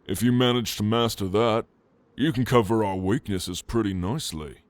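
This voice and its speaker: A man playing a flirtatious, protective orc in an intimate ASMR roleplay, with a teasing, casual and gentlemanly manner. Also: on the low side, normal speed, no room sound, close to an everyday voice. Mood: encouraging, casual. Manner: teasing, intimate, casual drawl with soft chuckles. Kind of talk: ASMR roleplay addressed to the listener.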